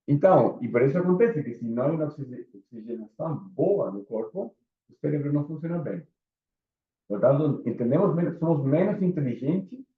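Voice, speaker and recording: A man from Chile with a Chilean Spanish accent, speaking Portuguese as an instructor, giving a casual live lecture and asking his small audience questions. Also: medium pitch. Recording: echoey room, phone-like sound.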